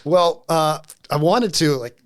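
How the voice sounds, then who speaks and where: A man's voice: fairly high pitch, slow pace. A man hosting a casual interview, playful and chatty, laughing along and using "like" often. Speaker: interview host.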